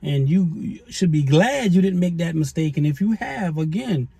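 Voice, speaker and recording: A man's voice: fairly high pitch, lively intonation, normal speed, gravelly, mellow, husky, dry recording. A middle-aged man co-hosting a relationship advice show, lecturing viewers in a folksy, preachy way and calling them y'all. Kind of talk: casual advice chat to viewers.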